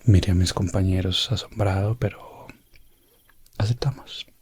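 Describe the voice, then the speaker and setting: A man narrating a medieval ASMR roleplay as an elf prince explorer, in a hushed voice that slips in and out of whispering. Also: low-pitched, fairly even intonation, a little slow, slight background noise. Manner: hushed, drifting between soft voice and whisper. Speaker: ASMR storyteller.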